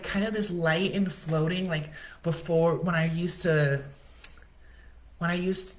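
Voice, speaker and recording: Woman, low deep voice, casual chatty spiritual coach hosting a live talk. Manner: casual, chatty, says "right?" often. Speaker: livestream host.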